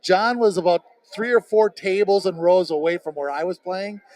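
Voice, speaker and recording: A male guest in a casual chat, telling a quick story in a lively way. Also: high-pitched.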